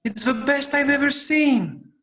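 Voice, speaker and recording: A man's voice: very high voice, unhurried, pushed and bright, full character voice. A man playing an earnest, affectionate young boy in an amateur script read-through. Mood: delighted.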